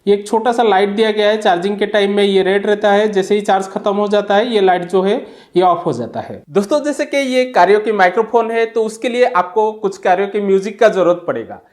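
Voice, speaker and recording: An Indian-accented woman hosts a casual unboxing review. Her voice sits at an ordinary middle pitch for a woman. She explains the product like a tech channel presenter talking to viewers. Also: darkish tone.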